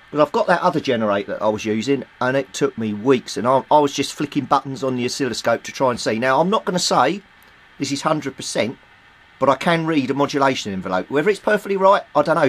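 A man's voice: on the high side, slightly rough. British man, informal hobbyist presenter. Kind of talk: informal hands-on demonstration. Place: small indoor hobby workshop.

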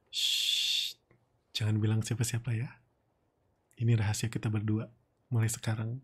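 Breathy, slow Indonesian boss boyfriend, acted by a man. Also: lowish voice, fairly bright tone, heavily acted. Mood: tender, confiding. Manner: slow, teasing, murmured, lingering pauses. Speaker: ASMR boyfriend roleplay streamer. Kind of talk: intimate ASMR roleplay.

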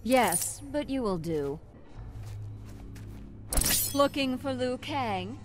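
An actress playing a haughty, scornful warrior princess in a video game, regal and disdainful. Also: female voice, a little high, expressive, drawn-out words, loud music behind the voice. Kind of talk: video game fight intro dialogue.

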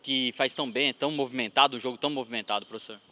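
A man's voice: medium pitch, steady tone. A man in his thirties, a field reporter interviewing a guest in a sports gym with a handheld mic. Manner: brisk, bright questioning.

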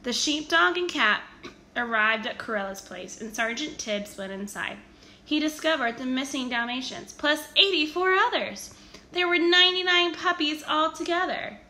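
Woman, expressive children's story narration, highish forceful voice, animated emphasis. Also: normal speed. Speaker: storybook reader.

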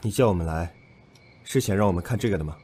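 Man acting a shrewd, mellow-voiced young man. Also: low voice, fast, a little hiss or hum, lightly acted. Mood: suspicious. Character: shrewd, probing young man among friends. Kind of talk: Chinese audio drama acting.

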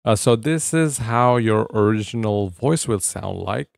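Man narrating tutorial with lively, swinging pitch. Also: unhurried, gravelly, no room sound, clean studio sound. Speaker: tech tutorial host. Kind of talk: scripted software tutorial narration. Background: background music.

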